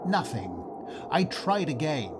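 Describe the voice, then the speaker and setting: An actor playing a polite, excitable Victorian nobleman in a comedy radio play, with a theatrical, pompous and well-mannered delivery. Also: a little high, slow pace. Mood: anxious, dramatic. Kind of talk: melodramatic first-person radio theatre narration and acting. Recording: noisy ambience behind the voice.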